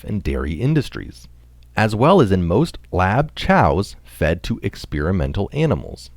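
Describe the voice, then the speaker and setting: Male article reader, deep register with lively intonation, scripted science narration, very dry sound. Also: dry recording.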